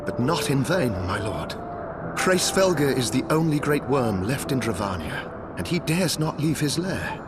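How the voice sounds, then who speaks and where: A man's voice: mid-range voice, sing-song, measured pace, over loud music. A man playing an earnest, loyal knight in a fantasy video game, speaking to his lord in formal, impassioned archaic speech. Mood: urging.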